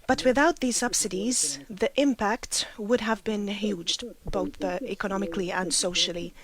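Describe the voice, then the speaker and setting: A woman's voice: medium pitch, fairly even intonation, normal speed. A woman from Malta, giving an argumentative statement at a formal meeting, often restarting her sentences. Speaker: meeting delegate.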